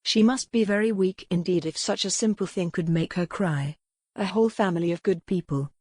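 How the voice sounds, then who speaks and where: Low-voiced woman narrating, close and dry. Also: brisk, a little mellow, breathy edge, dry recording. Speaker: audiobook narrator. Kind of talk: scripted narration of a historical romance novel.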